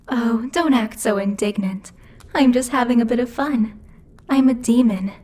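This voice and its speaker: A woman's voice: fairly high pitch, a little mellow. A woman playing a bossy, haughty demon lord who teases and mocks imperiously in a theatrical ASMR roleplay. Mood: teasing, amused. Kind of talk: ASMR roleplay, scripted character acting.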